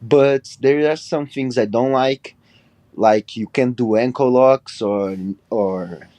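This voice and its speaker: A man in his twenties from Brazil, speaking halting English with a Brazilian Portuguese accent and sending in a question as a caller. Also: mid-range voice, mellow, no echo. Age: 20s. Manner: halting, apologetic non-native English. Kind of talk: recorded voice question.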